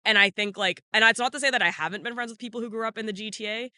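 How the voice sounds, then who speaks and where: Canadian male co-host, high and very bright voice, chatty, loose and filler-heavy. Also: racing, no echo, clean studio sound. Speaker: podcast co-host. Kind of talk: casual chat.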